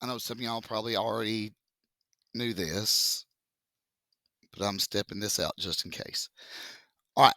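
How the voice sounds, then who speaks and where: A male math teacher with a deep, froggy voice, explaining a lesson slowly and joking with the class. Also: sharp tone, dry recording. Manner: joking, chatty, slow step-by-step explaining. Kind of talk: casual classroom lesson video.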